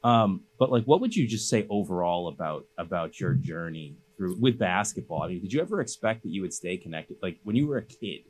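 A man from the Boston area hosts a casual interview podcast. His voice sits low in pitch, and a faint noisy hum runs under the studio recording. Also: animated, a little fast, hardly any pauses. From Boston area, United States. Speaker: podcast host.

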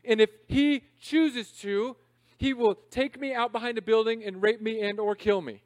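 A man in his thirties preaching a sermon in a conversational, self-deprecating way, swinging between loud emphasis and quiet asides. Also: high voice, steady tone, moderate pace, no echo. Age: 30s. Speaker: pastor.

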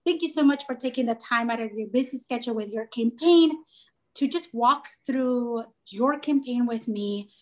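Twenty-something woman interviewing a guest, bit higher pitched, super excited and gushy. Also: moderate pace. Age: 20s. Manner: gushing, enthusiastic, warm-hearted praise. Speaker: interviewer host. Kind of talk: casual interview.

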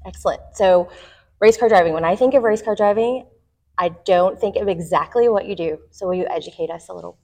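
A woman's voice: medium pitch, brisk, a little mellow. A middle-aged woman hosting a podcast interview in an office, chatty and enthusiastic. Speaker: podcast host. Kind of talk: casual interview chat.

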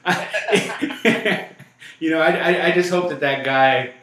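A man's voice: a little high, slow pace, a bit bright. Stammering joking man, echoey room. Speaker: podcast guest. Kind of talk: casual podcast chat.

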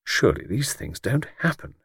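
Guy doing a polite young gent, bit wry, lively intonation, audiobook dialogue. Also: medium pitch, moderate pace, slightly rough, no room sound, studio quality, heavily acted. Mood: wry, musing. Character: courteous, self-questioning young English gentleman, ex-policeman.

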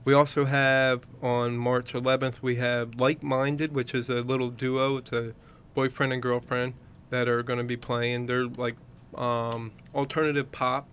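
A man's voice: mid-range voice, flat delivery, unhurried, fairly bright tone, slight background noise. A man hosting a radio show who rambles in casual chat, full of fillers like "you know". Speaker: radio host. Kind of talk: casual radio chat promoting upcoming shows.

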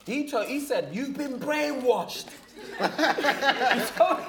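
A man co-hosting a live podcast, animated and laughing as he corrects a friend. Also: extremely high pitch, slow pace, crowd noise. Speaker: podcast co-host.

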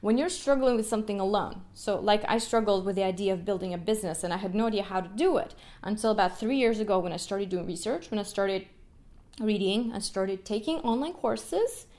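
A young adult woman from Ukraine, a native Russian speaker, hosting a solo podcast as a music educator. She talks in an animated way, often checking in with "right?" and laughing as she goes. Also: medium pitch, fairly quick.

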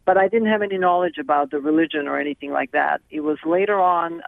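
A middle-aged woman from Serbia, speaking as a guest over the phone in an earnest, halting way with many restarts. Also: sits low, vocal fry, no echo. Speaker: film director and guest. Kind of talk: phone interview. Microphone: telephone. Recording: tinny dry phone line.

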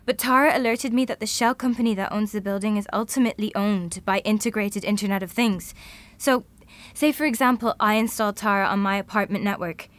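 An actress playing a tired, wry futurist at home in an audio drama. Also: female voice, medium pitch, fairly quick, no room sound, close to an everyday voice. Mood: earnest. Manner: weary, wry. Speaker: futurist, apartment owner. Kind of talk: radio drama acting.

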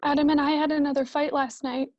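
A woman's voice: high-pitched, flat delivery, fast, darkish tone, no echo, muffled recording, close to an everyday voice. A woman playing a hurt, reproachful young wife in a radio drama, emotional and plaintive. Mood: subdued, weary.

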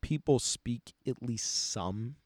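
A man's voice: medium pitch, slow pace, no room sound, studio quality. A man chatting casually, telling laid-back travel stories and briefly acting out exchanges. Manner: relaxed storytelling, acts out short exchanges. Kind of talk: casual chat.

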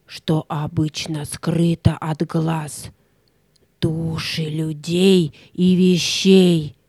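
A woman playing a mysterious, kindly old fairy who guides children in an audio play, speaking deliberately and theatrically. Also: deep voice, mellow, no echo.

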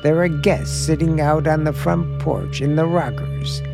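An actor playing a menacing, obsessed hotel owner in 1940s radio suspense style, brooding, sinister and deliberate. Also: male voice, fairly high pitch, vocal fry. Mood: grim recounting. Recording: old radio drama with music behind.

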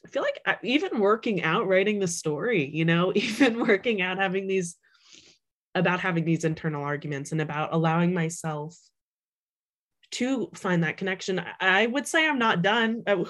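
A woman's voice: lowish voice, lively intonation, normal speed, fairly bright tone. A young adult woman, an author, talking casually in an interview, with lots of fillers, restarts and laughs. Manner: chatty, many fillers and restarts, laughs often. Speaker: author guest.

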